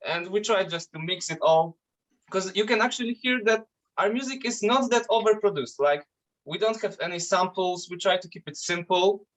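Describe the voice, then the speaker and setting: Polish-accented metal vocalist, casual and higher-voiced. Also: male voice, a bit bright, poor audio. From Poland. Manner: loose, swearing, halting with restarts. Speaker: metal band vocalist, guest. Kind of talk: casual interview chat.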